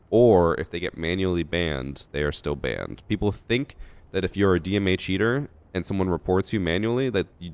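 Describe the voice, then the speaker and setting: A young man streaming a shooter game, chatting casually with his chat and thinking aloud with fillers, a little stuffy from a head cold. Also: low voice.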